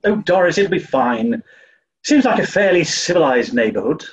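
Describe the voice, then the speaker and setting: An actor playing a cheerful, oblivious upper-class British toff in a 1940s-style radio comedy. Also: male voice, on the high side, sing-song, normal speed. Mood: genial, reassuring. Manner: jaunty, genial, oblivious. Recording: dull, muffled recording.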